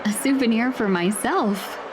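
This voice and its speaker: A woman voicing a polite, gentle young traveller in an anime-style video game, speaking in a courteous, well-spoken way. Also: fairly high pitch, unhurried, noisy background, natural voice. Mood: courteous, pleased.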